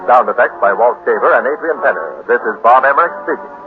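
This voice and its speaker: Tinny old radio recording, male announcer, mid-range voice, formal scripted delivery, soft music underneath. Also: mid-range voice, lively intonation, fast. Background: faint music.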